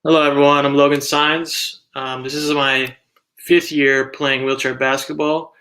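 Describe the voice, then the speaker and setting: A young man from Texas introduces himself casually as a college athlete. His voice is bright and sharp, with little movement in pitch. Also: flat delivery, a little slow. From Texas, United States. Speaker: college wheelchair basketball player, guest.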